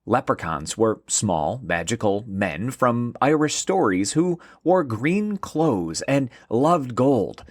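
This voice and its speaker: A man hosting and narrating a scripted children's story in an expressive storytelling manner. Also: mid-range voice, takes it slowly, few pauses, no echo. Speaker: host and narrator.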